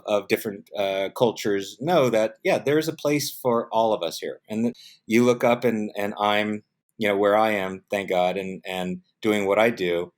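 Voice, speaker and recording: A middle-aged man with an American accent giving casual, anecdotal interview answers, animatedly acting out bits of dialogue. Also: lowish voice. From San Diego, United States. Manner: animated storyteller, acts out quoted dialogue. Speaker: interview guest, film production professional.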